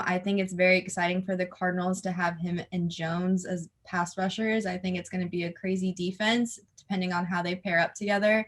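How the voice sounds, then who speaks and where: A woman's voice: medium pitch, fairly bright tone. A young woman guest on a casual sports podcast, giving opinions steadily with frequent "um"s.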